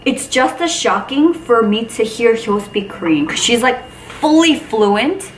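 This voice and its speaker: A woman's voice: on the high side, expressive, a little slow. A woman hosting a casual chat with a friend, laughing often and saying "like" a lot. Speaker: vlog host. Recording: roomy, distant mic, noisy.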